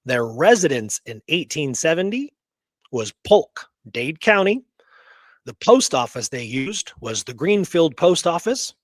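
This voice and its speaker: A man's voice: fairly high pitch, sing-song, unhurried, dry recording. Man presenting research in a dry close recording. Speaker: host. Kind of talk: casual genealogy research presentation.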